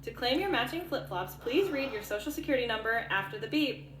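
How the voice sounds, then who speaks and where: A woman's voice: on the high side, animated, brisk, natural voice. A woman playing a chirpy robocall scammer, delivering congratulatory sales patter like an automated prize announcement. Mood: congratulatory, salesy. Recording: echoey, very noisy phone call playback.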